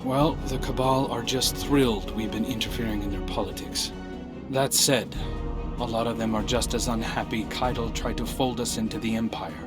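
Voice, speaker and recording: An actor playing an earnest, wry undercover scout in a video game, talking in an urgent, conversational way. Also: male voice, medium pitch, fairly even intonation, moderate pace, close to an everyday voice. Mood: concerned. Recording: loud music behind. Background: game soundtrack music.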